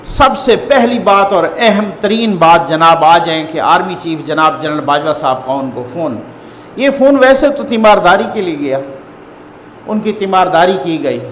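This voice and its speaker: A man's voice: fairly high pitch, fairly bright tone. A man with a Pakistani accent giving informal news commentary to viewers, conversational and repeating phrases for emphasis. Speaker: host and political news commentator. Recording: echoey reverberant room, faint background noise.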